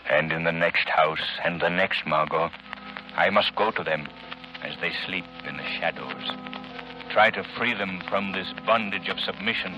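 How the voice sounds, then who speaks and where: An actor playing a resolute, grave avenger with an authoritative, exhorting manner in 1930s radio drama style. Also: male voice, deep voice, moderate pace, lo-fi sound, loud music behind the voice, natural voice. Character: resolute, commanding crime-fighting avenger, grave and urging. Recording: noisy, hissy 1930s radio recording.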